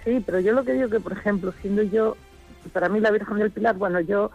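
A woman's voice: medium pitch, fairly even intonation, quick pace, gravelly, slightly rough, faint music behind. A woman from Chile calling in to a religious radio show, talking in an enthusiastic and rambling way. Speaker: caller. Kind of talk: phone-in call. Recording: muffled phone-line call on radio.

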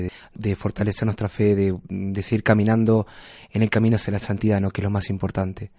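Young man, dark flat voice. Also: on the low side, no room sound, studio quality. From Argentina. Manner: hesitant, repeating words. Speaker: pilgrim interviewee.

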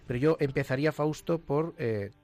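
A man's voice: a little high, normal speed, darkish tone, faint music behind. A young man with a Castilian Spanish accent hosting a conversational radio interview, effusive and often repeating words as he goes. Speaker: radio host, seminarian. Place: radio studio. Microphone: studio microphone.

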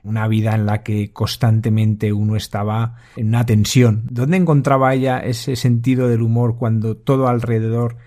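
Male interviewer, measured questions, mellow mid-pitched voice. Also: little pitch movement.

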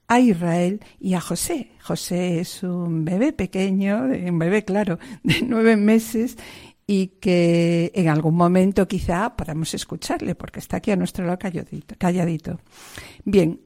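Woman host, mellow voice, formal devout hosting, measured delivery. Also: mid-range voice, vocal fry.

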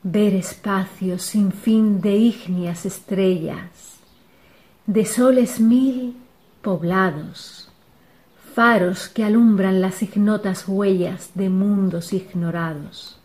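A woman reciting a devotional poem in a slow, solemn way, sometimes dropping to a whisper. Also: mid-range voice, fairly even intonation, a little mellow. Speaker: poetry reciter.

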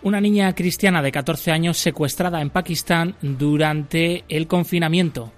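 Male radio presenter, slightly high-pitched, speaking over a music bed. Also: moderate pace. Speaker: radio host.

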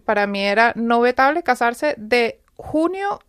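A woman's voice: a little high, lively intonation, measured pace, a bit bright, smooth, dry recording. A woman, a radio guest telling a personal story in an earnest, flowing way, speaking in a studio. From Venezuela. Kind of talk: personal testimony in a radio interview.

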